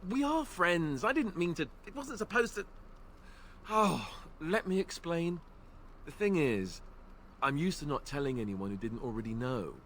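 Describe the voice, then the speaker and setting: A man's voice: on the high side, expressive, normal speed, a bit bright, slight background noise. An actor playing an awkward, self-deprecating young male knight in a game, talking in a hesitant, rambling way. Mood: uneasy. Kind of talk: game character dialogue.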